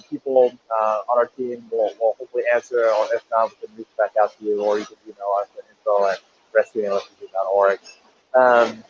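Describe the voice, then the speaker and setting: A young man hosts a livestream in a loose, rambling way, full of ums and restarts. His voice comes through a dull, lo-fi connection with a faint hiss underneath. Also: mid-range voice. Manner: rambling, many fillers and restarts. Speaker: livestream host. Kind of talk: casual livestream hosting. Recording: lo-fi livestream audio with faint noise.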